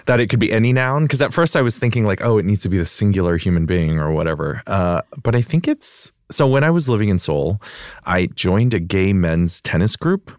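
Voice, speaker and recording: A man's voice: lowish voice, lively intonation, fairly quick, no echo, clean studio sound. A young American man, a podcast guest, talking thoughtfully with many fillers like "like" and "you know". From United States. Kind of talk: reflective interview conversation.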